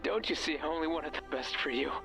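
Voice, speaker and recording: A man's voice: a little high, quick pace, vocal fry, music in the background. An actor playing a manipulative, obsessive man's voice from a radio in a horror game, speaking with intimate, insistent, theatrical intensity. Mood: pleading, devoted. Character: manipulative, pleading male voice from a radio, obsessive and sinister. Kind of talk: dramatic horror game voice acting.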